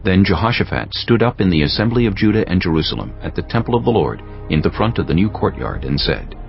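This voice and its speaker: A man reading scripture as an audiobook narrator in a solemn, measured, scripted style. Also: low voice, big pitch swings, vocal fry, lo-fi sound, soft background music.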